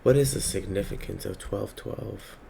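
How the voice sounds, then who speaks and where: A man with a slightly raspy voice giving a slow, reflective spiritual monologue with many pauses. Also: fairly low pitch, warm tone, slight background noise, rough recording. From Palestinian heritage. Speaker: spiritual reader.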